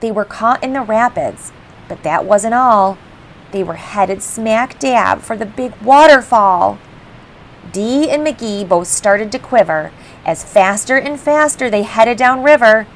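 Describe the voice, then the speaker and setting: A woman reading a rhyming picture book aloud for children in an expressive, measured storytelling style. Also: fairly high pitch, a little hiss or hum, rough recording. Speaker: storybook reader. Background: faint background music.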